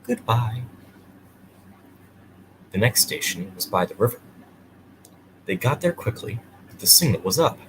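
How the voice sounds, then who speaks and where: A man's voice: on the low side, very animated, moderate pace, pauses often, noisy background. A man hosting and reading a children's bedtime story aloud. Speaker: storyteller and host.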